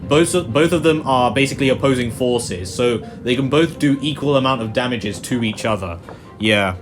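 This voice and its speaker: A man's voice: mid-range voice, normal speed. A man giving casual, rambling commentary while playing a video game, with frequent fillers and muttered asides. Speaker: gaming video host. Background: game music and sound effects.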